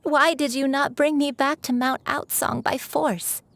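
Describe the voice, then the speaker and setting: Old crane-spirit disciple, earnest and halting, formal address, high-pitched. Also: female voice, fairly even intonation, creaky. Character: elderly crane spirit living as a grateful old woman.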